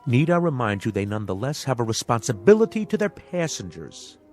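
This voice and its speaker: A man's voice: mid-range voice, very animated, a little fast, faint music behind, natural voice. An actor playing an indignant, self-important stranded businessman in a radio drama, fussy and demanding in manner. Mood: indignant, sarcastic.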